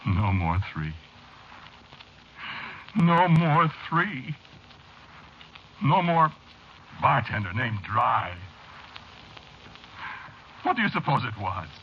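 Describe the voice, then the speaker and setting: An actor playing a frightened, desperate man in an agitated, halting way, in 1940s radio horror style. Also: mid-range voice, very animated, gravelly, a little hiss or hum, heavily acted. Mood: haunted dread. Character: frightened, desperate man begging a bartender to talk. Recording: muffled old radio broadcast with music behind.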